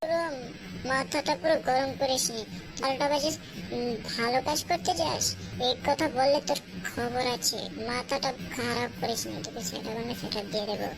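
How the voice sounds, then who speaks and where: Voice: high voice, moderate pace, a crowd in the background. A performer voicing a cheeky, argumentative young boy who scolds his elder in a comedy cartoon. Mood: angry, threatening. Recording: clearly noisy background.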